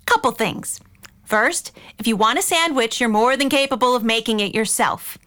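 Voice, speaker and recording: A woman's voice: fairly high pitch, moderate pace, a bit bright, lightly acted. A woman playing a caring but exasperated middle-aged mother and aunt in an audio drama, earnest and firm.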